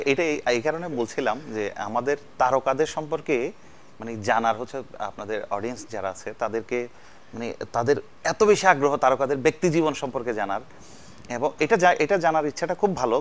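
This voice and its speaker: A man's voice: medium pitch, brisk, a little hiss or hum. A Bangladeshi man hosting a conversational TV interview, hesitating and repeating words as he frames his questions. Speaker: TV talk show host.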